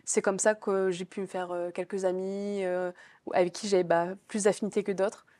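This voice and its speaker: A woman's voice: mid-range voice, level tone, moderate pace, warm tone, no room sound. Chatty young woman, dry sound. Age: young adult. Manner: chatty, many fillers and restarts. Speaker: business school student. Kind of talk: casual interview answers.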